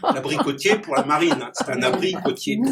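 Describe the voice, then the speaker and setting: Male clown-poet, high voice, playful punning delivery, distant mic with some noise. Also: expressive, fairly quick. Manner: playful wordplay, chuckles at his own puns. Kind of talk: reciting comic rhyming poems to a small gathering.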